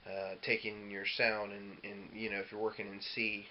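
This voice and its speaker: A man with a fairly low voice talks through a casual music tutorial. He explains things loosely and informally, feeling his way as he goes. The sound is dull and lo-fi, with noticeable hiss behind him. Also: monotone, moderate pace. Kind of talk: casual instructional demo talk. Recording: dull lo-fi audio with clear hiss.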